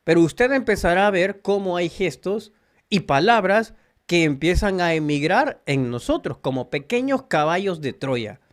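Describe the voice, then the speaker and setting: A man's voice: high voice, animated, normal speed. A man from El Salvador with a Salvadoran Spanish accent, giving an earnest, preachy spiritual monologue straight to his viewers. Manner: earnest, preachy, addresses listeners directly. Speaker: spiritual channel host.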